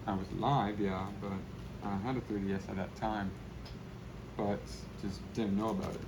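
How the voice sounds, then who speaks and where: A young man chatting casually and rambling in a low-key way while he spray paints. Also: little pitch movement, normal speed, background noise.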